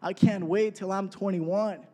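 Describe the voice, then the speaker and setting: A man's voice: high-pitched, fairly even intonation, a little slow, dark tone. A man in his twenties preaching a sermon in a conversational way, often checking in with the congregation. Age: 20s. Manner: conversational preaching, frequent "right?" asides. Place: church hall. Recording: echoey hall.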